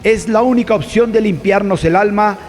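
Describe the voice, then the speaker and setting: An actor playing a talkative, formal parish priest in an audio drama, with a Colombian accent. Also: male voice, high-pitched, normal speed, over music, close to an everyday voice. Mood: solemn preaching. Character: sociable parish priest, longtime friend of a colleague.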